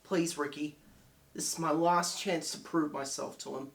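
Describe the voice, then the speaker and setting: A man playing an anxious young person in a dramatic monologue, pleading quietly to prove himself. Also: a little high, steady tone, moderate pace, gravelly. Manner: pleading, subdued. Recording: echoey room.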